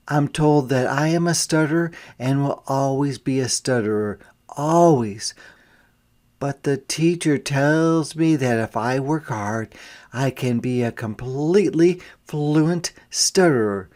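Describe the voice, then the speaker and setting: A man reads a storybook aloud in a medium-pitched voice. His pace is slow and deliberate, like a teacher with young listeners. He steps out of the story now and then to explain things more quietly. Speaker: teacher reading a picture book aloud. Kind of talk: interactive read-aloud storytelling with explanatory asides.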